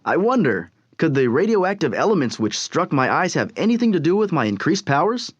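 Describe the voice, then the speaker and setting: A man's voice: on the high side, very animated, brisk, husky, dry recording, dull audio. An earnest, hopeful teenage boy. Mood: wondering. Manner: earnest, reassuring.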